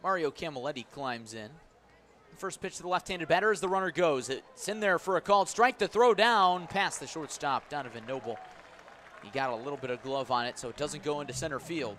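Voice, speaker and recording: A man's voice: lively intonation, brisk, bright, forceful tone, some chatter behind. A man doing live radio play-by-play of a baseball game, describing the action and stressing the key moments. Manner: descriptive, lifts and stresses as each pitch comes. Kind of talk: live radio sports commentary. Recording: clear outdoor ambient noise behind voice. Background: outdoor ballpark ambience.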